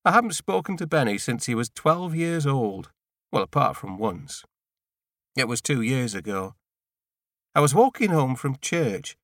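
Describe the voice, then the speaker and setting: A man reading a first-person novel in an understated, dry, clearly spoken storytelling manner. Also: mid-range voice, sing-song, measured pace, no room sound. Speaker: audiobook narrator.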